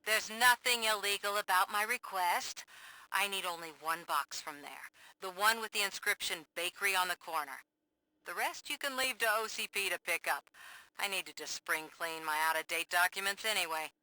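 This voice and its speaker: An actress playing a defiant, distrustful investigative reporter in a video game, speaking in a pointed, challenging way. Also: female voice, mid-range voice, normal speed, pushed and bright, no room sound, rough recording. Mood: wary, insistent. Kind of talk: video game cutscene acting.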